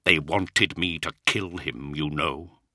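A gaunt, brooding lord of knights, acted out by a male narrator. His low voice is rough and worn, and he speaks slowly and gravely, as a commander in a dark audiobook tale. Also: animated, no room sound, studio quality, exaggerated voice. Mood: brooding, haunted. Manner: slow, deliberate, grave. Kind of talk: audiobook character acting.

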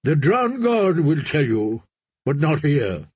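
A man voicing a fervent male priest of a sea god, preaching in a slow, solemn way. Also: a little high, big pitch swings, a little mellow, breathy edge, no echo, highs cut off, full character voice.

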